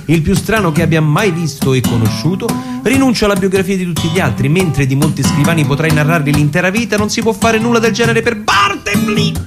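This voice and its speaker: A man playing a prudent, unambitious elderly lawyer in a formal, deliberate literary monologue with pauses. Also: high-pitched, expressive, pitched above the performer's own voice. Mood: emphatic. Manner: measured, deliberate, pausing, formal. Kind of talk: literary dramatic monologue reading over music. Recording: radio reading with loud guitar music. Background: plucked guitar music.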